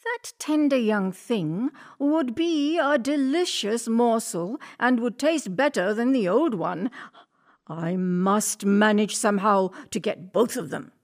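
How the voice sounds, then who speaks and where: A woman's voice: on the high side, expressive, slow. A woman voicing a sly, hungry male wolf in a storybook tale, coaxing and scheming under a friendly front.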